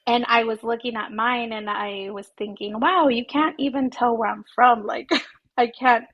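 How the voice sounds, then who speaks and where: A woman's voice: a little high, steady tone, normal speed, lo-fi sound. A woman in her twenties from Southern California, a podcast guest talking casually with many ums and a shy laugh. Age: 20s. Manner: hesitant, lots of ums and likes, soft laughs. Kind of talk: casual interview chat.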